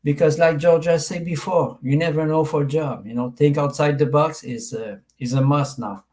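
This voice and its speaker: A man with a French Canadian accent, whose first language is French, speaks very slowly on a video call. He pauses often and hesitates as he searches for words. His voice is fairly high for a man. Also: fairly even intonation, a little mellow. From Quebec. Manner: halting, searching for words, many fillers. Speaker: participant. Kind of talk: video call self-introduction.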